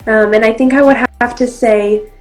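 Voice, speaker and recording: A woman's voice: fairly high pitch, steady tone, a little fast, faint music behind. A young woman from Brazil, whose first language is Portuguese, answering interview questions in a friendly, chatty way with many ums. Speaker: interview guest, actress and model.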